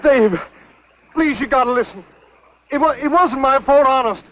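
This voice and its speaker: A man's voice: extremely high pitch, moderate pace, slight background noise. An actor playing a cowardly American man who stammers and whines as he begs an armed old friend for his life in a 1950s B-movie. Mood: pleading. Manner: pleading, stammering, whining, repetitive. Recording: dull 1950s film soundtrack with loud music.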